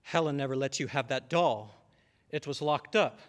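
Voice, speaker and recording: A man's voice: medium pitch, moderate pace, lightly acted. A man playing a stern, exasperated father in an old-time radio drama, with emphatic delivery. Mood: grim, accusing. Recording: long hall reverb.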